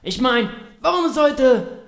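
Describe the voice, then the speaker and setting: A man's voice: extremely high pitch, lively intonation, takes it slowly, gravelly, a bit bright, reverberant, distant mic, exaggerated voice. A man voicing a grouchy old retired pony stallion who is sick of the foals and disbelieving. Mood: skeptical. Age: older. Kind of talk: fan audio drama acting.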